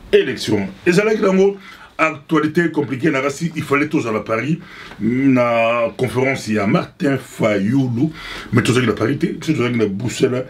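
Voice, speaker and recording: A man's voice: mid-range voice, a little hiss or hum. Rambling Congolese man commenting. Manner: rambling, repetitive, mixes two languages. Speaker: pastor and political commentator. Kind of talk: casual political commentary.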